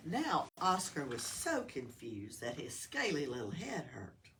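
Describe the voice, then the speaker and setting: A woman reads a storybook to children at an unhurried pace. Her delivery is expressive and lilting with some creak in it, recorded a little way from the mic. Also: low voice. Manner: expressive, patient with child listeners. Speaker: library story-time reader. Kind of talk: children's storybook read-aloud. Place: public library room. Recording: distant mic with faint room noise.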